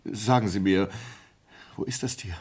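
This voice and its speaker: An actor playing an agitated, suspicious sailor who feels duped, in a husky voice, in a German radio play. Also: male voice, fairly low pitch, a little slow, darkish tone. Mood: agitated, indignant. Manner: agitated, erratic.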